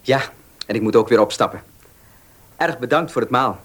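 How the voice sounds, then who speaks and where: An actor playing an earnest, socially awkward country gentleman in a radio play, speaking formally, courteously and a little haltingly. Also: mid-range voice, lightly acted. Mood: courteous, sincere. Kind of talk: Dutch radio play acting.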